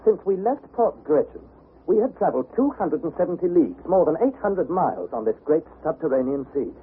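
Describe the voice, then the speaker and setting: A man's voice: a little high, very animated, a little fast, slightly rough, narrow, tinny audio, full character voice. An actor playing an earnest, anxious young nephew, precise and dramatic as he narrates and acts in an old radio adventure. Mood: tense, measured. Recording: thin, noisy vintage radio recording.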